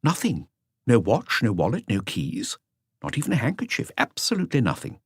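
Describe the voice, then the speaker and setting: A man's voice: medium pitch, huge pitch swings, fairly quick, vocal fry, slightly rough, no echo, studio quality, voice pushed up, heavily acted. A man voicing a subordinate police officer in an audiobook, matter-of-fact and inquisitive. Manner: matter-of-fact reporting, questioning. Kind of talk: audiobook character dialogue.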